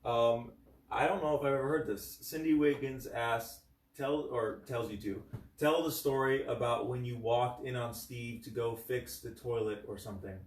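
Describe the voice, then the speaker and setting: An American man with a medium-pitched voice reads questions and chats casually on a livestream. He sounds a little away from the microphone in an echoey room, with faint noise around him. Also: steady tone, normal speed, recorded from a distance. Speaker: co-host reading viewer questions.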